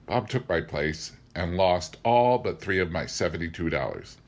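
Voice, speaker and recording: A middle-aged man narrating a memoir as a scripted reading, with measured, deliberate phrasing. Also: low-pitched, lively intonation. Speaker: amateur audiobook reader.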